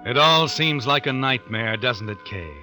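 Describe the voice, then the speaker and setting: An actor playing an all-knowing, sinister narrator in an old radio suspense drama, ominous and insinuating. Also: male voice, medium pitch, expressive, measured pace, over music, lightly acted. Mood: insinuating, suspenseful. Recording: muffled vintage radio recording.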